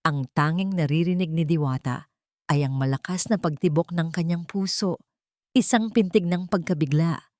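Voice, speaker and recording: Low-voiced woman narrating a dramatic story. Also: moderate pace, darkish tone, no room sound, clean studio sound.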